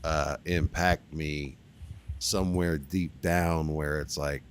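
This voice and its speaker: Very low-voiced man, chatty host. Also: fairly even intonation, takes it slowly, clear, resonant tone. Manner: rambling, filler-heavy, enthusiastic. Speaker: interview host. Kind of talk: casual conversational interviewing.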